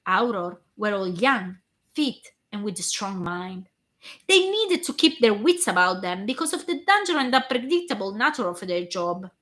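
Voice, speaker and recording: A hoarse woman reading a long story aloud in a steady way, sometimes stumbling and restarting a word. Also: mid-range voice, moderate pace. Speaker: fan fiction reader.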